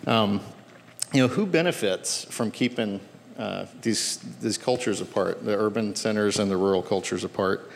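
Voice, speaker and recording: A man moderating a church panel discussion, halting and repeating words as he thinks. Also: fairly low pitch, creaky, in a large hall, a little hiss or hum.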